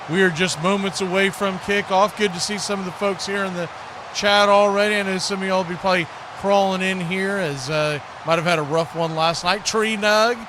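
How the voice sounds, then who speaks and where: A man hosting live sports commentary in a casual, folksy way, chatting to viewers as a play-by-play announcer. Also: high voice. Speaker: play-by-play announcer and livestream host. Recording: clearly noisy live stream with background ambience.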